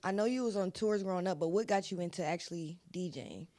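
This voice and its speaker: A co-host of a casual podcast asking brief, measured questions. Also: mid-range voice, warm tone, no room sound.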